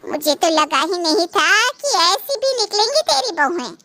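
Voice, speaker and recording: A woman voicing a nosy, meddling older female neighbour with an animated manner in a cartoon moral story. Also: very high voice, moderate pace, noisy background, exaggerated voice. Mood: surprised concern.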